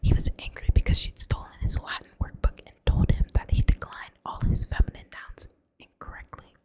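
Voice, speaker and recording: Whispering woman with a Southern US accent. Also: fairly quick. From Southern United States. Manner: soft whisper, occasional stumbles and self-corrections. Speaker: reader. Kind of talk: whispered novel reading, ASMR.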